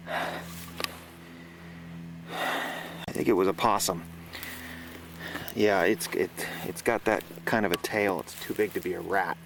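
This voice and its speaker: Frightened male reporter for horror audio drama, played by an actor: low, creaky voice, stammering into his recorder outdoors. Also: fairly even intonation. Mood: shaken, uneasy. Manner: halting, stammering, gasping exclamations. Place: outdoors on a farm. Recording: noisy outdoor location recording.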